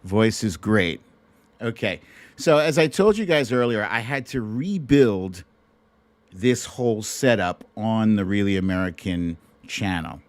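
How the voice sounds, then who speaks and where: Slow-paced man hosting a casual livestream. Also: lowish voice, lively intonation, no echo. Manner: rambling, conversational, talks directly to the audience. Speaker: host of a livestream show. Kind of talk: casual, chatty livestream talk to viewers. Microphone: streaming microphone at a laptop setup.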